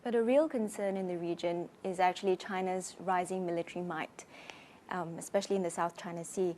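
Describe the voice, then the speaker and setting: Crisp female news interviewer. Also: lowish voice, steady tone, fairly quick, darkish tone. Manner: crisp, pointed questions.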